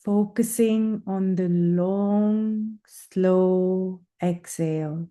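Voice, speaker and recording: A woman leading a guided breathing meditation, speaking in slow, soothing, drawn-out phrases with long pauses. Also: mid-range voice, fairly even intonation, warm tone.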